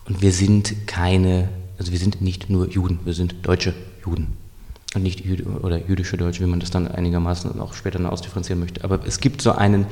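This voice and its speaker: A man with a fairly low, gravelly voice talks through a history in a measured, explanatory way, pausing and restarting his sentences as he thinks. The room gives his voice a long, ringing reverb. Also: level tone, warm tone. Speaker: historian guest. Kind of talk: thoughtful interview answers.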